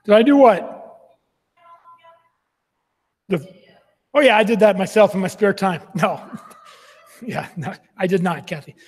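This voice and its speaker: A high-pitched man with a husky edge talks loosely from a stage, asking the crowd about the sound. His voice rings with a long hall reverb. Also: normal speed. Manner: chatty, testing sound with audience. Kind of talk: casual live event talk.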